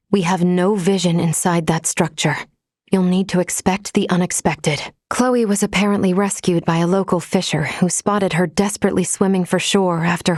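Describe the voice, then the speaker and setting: A woman voicing a composed, dutiful android crew member in a video game, speaking in a measured, formal, precise way. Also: lowish voice, darkish tone, slightly rough, no echo, studio quality. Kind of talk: scripted video game character lines.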